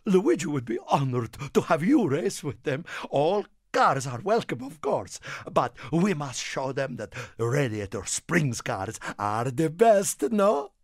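High-pitched, excitable little Italian car. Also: male voice, a little slow, hardly any pauses, no room sound, studio quality. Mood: friendly, confiding. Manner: theatrical, exclamatory, broken English. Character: small excitable Italian car, warm-hearted proud tire seller. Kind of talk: animated video game character lines.

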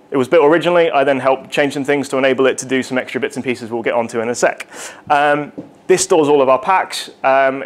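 A young man giving a casual, rambling technical talk at a meetup, with lots of fillers and asides. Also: fairly high pitch. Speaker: conference speaker. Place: meetup room in front of a projected slide.

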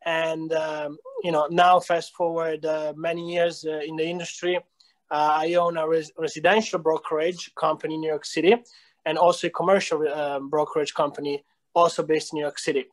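A man's voice: a little high, flat delivery, moderate pace. A man in his thirties with an Italian accent, talking hesitantly with many fillers in a video call interview. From Italy. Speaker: real estate company founder. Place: small room indoors.

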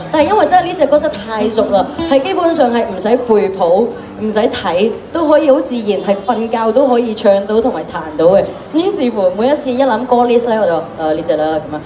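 A young woman from Hong Kong, a singer chatting quickly and casually between songs at a small live venue, laughing as she talks into a handheld mic. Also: fairly high pitch. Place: small indoor live music venue, on stage. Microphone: handheld microphone. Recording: roomy live room with loud band.

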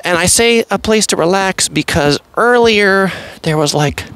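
Man, casual walking-tour narration, rambling asides, outdoors, lively pitch. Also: a little slow, dry recording, poor audio. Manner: chatty, rambling, many fillers and asides. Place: outdoors on an empty seaside pier.

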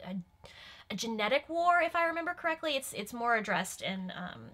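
Female fan commentator, twenties, bright and slightly high voice, casual, hedges as she explains. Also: big pitch swings. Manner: halting, hedging explanations. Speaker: guest, Star Trek fan explaining the show. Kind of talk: casual episode commentary chat. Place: small room, indoors.

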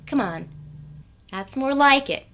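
A woman playing a brisk, condescending seminar leader in a satirical radio lecture, coaxing her listeners. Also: sits high, expressive, fairly bright tone, slight background noise, full character voice. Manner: patronizing, coaxing the audience.

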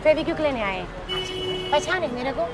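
High-voiced brash Hyderabadi woman. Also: sing-song, moderate pace. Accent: Hyderabadi Hindi-Urdu. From Hyderabad, India. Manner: brash, teasing, chatty. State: sore throat. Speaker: comedy vlogger. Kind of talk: street prank vlog chat. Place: busy city roadside stall, outdoors. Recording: street noise behind.

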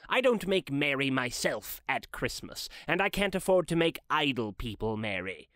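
A man plays a miserly old businessman from a storybook. He is cold, curt and scornful, with a highish voice that swings widely in pitch. The sound is close and dry. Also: sharp tone, no echo. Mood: curt, irritated. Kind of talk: dramatic storybook reading.

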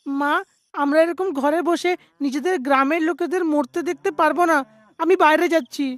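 A woman's voice: high-pitched, fairly even intonation, fairly quick, no echo, close to an everyday voice. A woman voicing an earnest young villager in a cartoon, determined and questioning. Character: earnest young villager protecting their people. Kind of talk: animated story dialogue.

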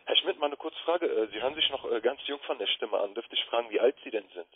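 A man's voice: medium pitch, animated, fast talker, husky, dry recording. Male sales caller on a muffled phone line.

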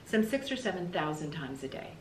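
A woman's voice: on the low side, a little fast. American woman reading aloud, roomy sound. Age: middle-aged. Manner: animated, explanatory, emphatic. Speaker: teacher reading aloud to schoolchildren. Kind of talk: expressive children's story read-aloud. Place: small indoor room with bookshelves. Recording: roomy echo, faint background noise.

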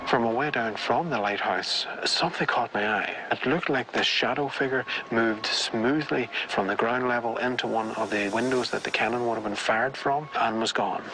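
A man's voice: medium pitch, normal speed, few pauses. A man talking on location as a paranormal investigator, leading an investigation. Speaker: paranormal investigator, team member on a TV show. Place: on location at an old fortress. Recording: noisy outdoor location sound.

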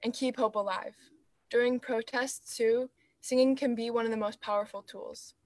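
A woman musician introducing a song in a gentle, unhurried way over a video call. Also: fairly high pitch, steady tone, no room sound. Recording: guitar playing behind.

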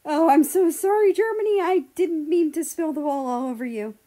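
A woman reads as a clumsy, apologetic man in a storybook drama. The voice is lifted in pitch, eager and easily flustered. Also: normal speed, dark tone, close to an everyday voice. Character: apologetic, clumsy male American actor.

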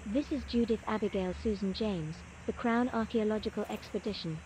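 A woman playing an earnest, composed archaeologist recording measured, articulate expedition audio logs for a game. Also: medium pitch, noisy background, close to an everyday voice. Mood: uneasy, reporting. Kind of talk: scripted audio-log narration, game voice acting.

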